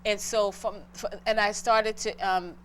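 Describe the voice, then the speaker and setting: A middle-aged woman from Boston speaks as an interview guest, talking earnestly and from the heart about her own path. Her voice sits at an ordinary pitch for a woman. A faint noise hums underneath. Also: little pitch movement, a little slow. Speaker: guest entrepreneur. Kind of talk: interview, personal story.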